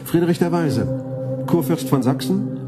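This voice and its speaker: Dry chancellor over loud music. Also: male voice, on the high side, animated, takes it slowly. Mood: formal, deferential. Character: dry, respectful male chancellor to a young emperor. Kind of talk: German radio drama acting.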